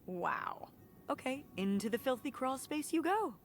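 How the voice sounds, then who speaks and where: A woman's voice: high voice, a little slow, soft background music, full character voice. A woman voicing a dry, reluctant, exasperated middle-aged mother in a naturalistic video game performance. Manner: dry, deadpan.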